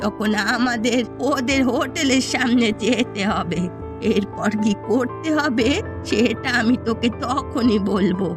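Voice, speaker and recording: A woman plays a vengeful old village mother from a cartoon, pitched very high. She sounds sure of herself and conspiratorial, with music clearly playing behind her. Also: takes it slowly. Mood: scheming, confident. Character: scheming, vengeful elderly mother running a village eatery. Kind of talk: cartoon story voice acting.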